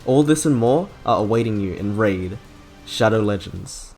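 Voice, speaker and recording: A man's voice: lowish voice, animated, normal speed, gravelly, a little mellow, soft background music. A man narrating a scripted video essay as its host.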